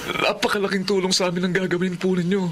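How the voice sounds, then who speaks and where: A man's voice: high-pitched, flat delivery, fast, a bit bright, slight background noise. A man playing a young husband and father struggling with addiction, emotional and pleading, in a Filipino radio drama. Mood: humble, grateful. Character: young married father, defensive drug addict turned remorseful.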